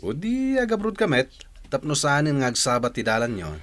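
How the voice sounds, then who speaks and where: A man's voice: mid-range voice, big pitch swings, a little fast, a bit bright, no echo. A man playing a young playboy ranch owner in a radio comedy drama, talking in a casual, wavering way.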